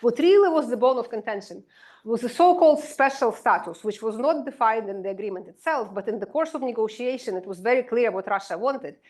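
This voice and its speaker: A woman, a political science professor giving an academic lecture, explaining in a measured way with many "sort of" and "you know" asides. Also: a little high, expressive, darkish tone.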